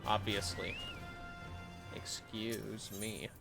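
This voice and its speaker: A man's voice: lowish voice, level tone, drawn-out words, creaky, over music. A man livestreaming a game, talking to himself in a playful, rambling, casual commentary. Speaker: livestreamer playing an online fantasy game.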